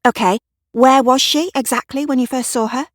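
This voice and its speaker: A woman voicing a brisk, steely policewoman in an audio drama, questioning a witness directly. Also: high voice, steady tone, no room sound, studio quality, pitched above the performer's own voice, close to an everyday voice. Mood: brisk, probing.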